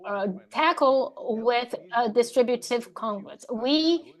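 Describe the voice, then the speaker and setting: Lady doing live interpreting at a panel, choppy catch-up phrasing, voice a bit high. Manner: halting, fragmentary phrasing while keeping pace with a speaker. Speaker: simultaneous interpreter.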